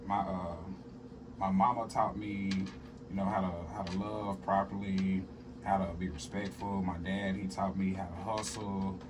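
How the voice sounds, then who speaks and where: A man's voice: low voice, far from the mic, background noise. A young man from southern Arkansas, a comedian and musician, chatting casually as an interview guest and telling stories with a laugh. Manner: laid-back, laughing, storytelling.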